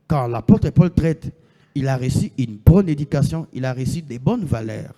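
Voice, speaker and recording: A man's voice: a little high, mellow. Man in his thirties preaching in a reverberant hall. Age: 30s. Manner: asks the congregation questions and answers them himself, repeating phrases. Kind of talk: sermon.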